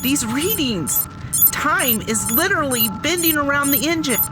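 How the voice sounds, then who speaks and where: A woman's voice: sits high, animated, moderate pace. A woman playing a wary, skeptical scientist in a sci-fi audio drama, urgent and suspicious. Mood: alarmed awe. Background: prominent dramatic music.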